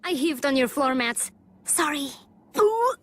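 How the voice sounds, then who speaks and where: A sheepish cartoon kid as put on by a man, very high and squeaky. The kid sounds meek and apologetic, in a comedy parody style. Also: very animated, measured pace, a bit bright, full character voice.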